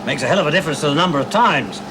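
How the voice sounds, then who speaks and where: A man's voice: a little high, lively intonation, very fast, lightly acted. A man acting in a 1970s television drama as an impatient, sceptical scientist. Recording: noisy 1970s television soundtrack.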